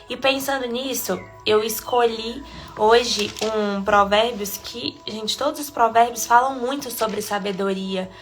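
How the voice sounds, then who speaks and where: A woman with a Brazilian accent and a congested cold leads a casual live devotional, chatty with soft laughs between phrases. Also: a little high. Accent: Brazilian Portuguese. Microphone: phone or webcam livestream.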